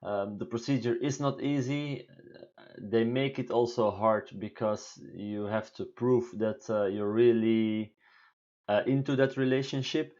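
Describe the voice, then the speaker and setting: A man in his thirties from Belgium with a deep voice, answering viewer questions in halting English on a home live stream. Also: fairly even intonation, darkish tone, dull audio. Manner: halting, many fillers and restarts, non-native English phrasing. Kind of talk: casual live Q&A talk. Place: small room indoors at home.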